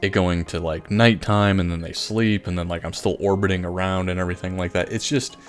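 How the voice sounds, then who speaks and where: A man with a low voice hosts a gaming video in a casual, rambling style. He talks informally and with enthusiasm, and faint music plays behind him. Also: steady tone, fairly quick. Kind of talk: casual Minecraft time-lapse commentary. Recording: voice over background music.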